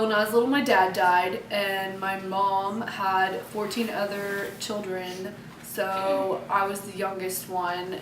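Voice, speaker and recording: A young woman playing a male novelist who rambles casually and fills his answers with ums. Her voice stays flat and even. It is heard from a distance in an echoey room. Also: mid-range voice, background noise, natural voice. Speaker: student playing a war novelist. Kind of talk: school project mock talk show.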